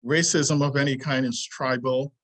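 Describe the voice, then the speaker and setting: Guy in his 60s reading his essay out loud, mid pitch, slow and earnest, keeps stopping and picking back up. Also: fairly even intonation. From Trinidad. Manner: earnest and deliberate, stops and restarts lines. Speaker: martial arts teacher. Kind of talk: reading a prepared essay aloud.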